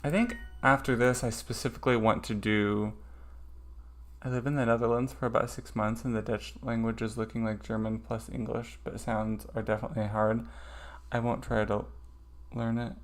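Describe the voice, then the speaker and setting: A man's voice: mid-range voice, level tone, moderate pace. A man streaming a casual study session, thinking aloud hesitantly with lots of ums.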